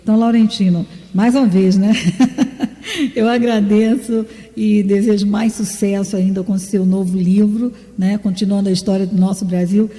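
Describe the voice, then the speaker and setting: A woman's voice: medium pitch, steady tone, moderate pace, dark tone, long reverb tail. A Brazilian woman hosting a radio interview, friendly and chatty, thanking her guest. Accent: Brazilian Portuguese. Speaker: radio host.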